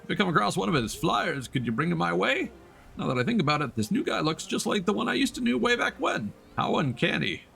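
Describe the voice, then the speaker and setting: A man's voice: high-pitched, very animated, fast, gravelly, pushed and bright, pitched above the performer's own voice, full character voice. A man voicing chatty, dramatic townsfolk from a fantasy video game, reading their dialogue aloud with exaggerated delivery. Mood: nostalgic, curious. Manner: theatrical, exaggerated. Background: video game music.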